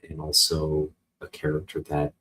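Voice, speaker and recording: An American man chatting casually and unscripted as a vlog host, with a husky voice and a halting, rambling delivery as if thinking aloud. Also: rumbling low, completely flat delivery, pushed and bright, faint music behind. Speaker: host of a comic book channel.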